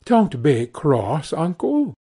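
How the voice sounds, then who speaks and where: Man as a cheerful, lilting young gentleman nephew. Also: a little high, huge pitch swings, slow, husky, full character voice. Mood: pleading.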